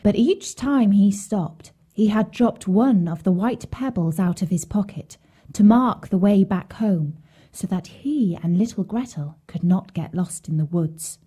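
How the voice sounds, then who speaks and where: A woman's voice: medium pitch, animated, a little slow, warm tone, slightly rough. A woman narrating a scripted bedtime fairy tale as a storyteller for children.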